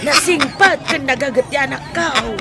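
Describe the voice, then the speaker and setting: A woman's voice: high-pitched, normal speed, close to an everyday voice. A woman with an Ilocano accent playing a gossipy, needling village busybody in a radio drama. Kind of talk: Filipino radio drama acting. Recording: loud music and outdoor crowd bed.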